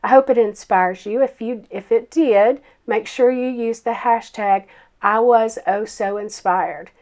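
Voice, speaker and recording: A woman's voice: on the high side, a little slow, a little mellow. A woman, a grandmother, chatting through a card-making tutorial with a froggy voice from a summer cold, full of ums and self-deprecating asides. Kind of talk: casual craft tutorial voice-over.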